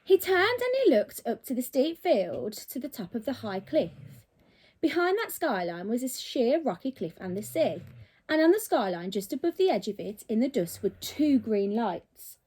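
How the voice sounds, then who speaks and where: Animated high-voiced woman reading a story. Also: moderate pace, husky. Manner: animated, dramatic delivery. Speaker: primary school teacher. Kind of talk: expressive storybook read-aloud for children.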